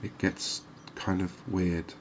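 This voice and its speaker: A man with a deep, very flat voice narrates gameplay in a soothing ASMR style. He speaks softly and takes his time, with long, slow phrasing. Faint ambient noise sits behind his voice. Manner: soft-spoken, unhurried, soothing. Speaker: gaming video host.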